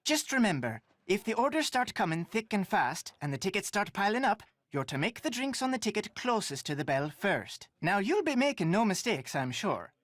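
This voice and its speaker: An actor playing a brisk, folksy Irish barkeep in a video game, giving polite instructions. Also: male voice, high voice, sing-song, fairly bright tone, no echo, heavily acted. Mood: brisk, instructive. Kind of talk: video game character dialogue.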